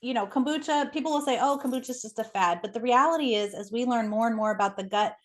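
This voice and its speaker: A woman's voice: a little high, fast talker. A woman speaking as an expert interview guest, explaining things conversationally in an articulate, enthusiastic way.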